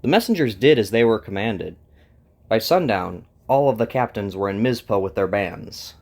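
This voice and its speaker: A man's voice: on the low side, lively intonation, normal speed, gravelly, darkish tone. A man hosting and narrating a scripted dramatized story. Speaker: narrator and host.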